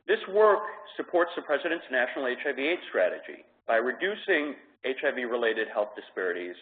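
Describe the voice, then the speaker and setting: A man's voice: medium pitch, very animated, brisk. Guy hosting a web conference, reading off a script, sounds like a narrow phone line. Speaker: web conference host and presenter. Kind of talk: scripted presentation. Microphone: telephone conference call.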